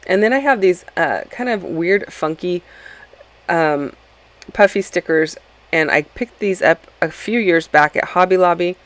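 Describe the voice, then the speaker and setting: A woman's voice: lowish voice, unhurried. A woman talks over her own craft footage in a loose, rambling voice-over, full of ums and restarts. She is still getting over a cold and sounds a little sniffly and stuffy. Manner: rambling, chatty, many ums and self-corrections. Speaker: scrapbooking channel host. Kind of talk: casual process-video voice-over.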